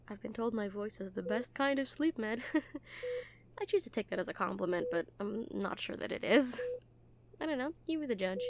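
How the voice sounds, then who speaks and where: A woman's voice: high-pitched, darkish tone. A woman playing a kind, earnest nurse in an audio roleplay, talking to a patient in a hesitant, reassuring, conversational way. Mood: soothing, playful. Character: kind, earnest, gently teasing hospital nurse comforting a patient. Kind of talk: roleplay audio acting, soft comfort talk.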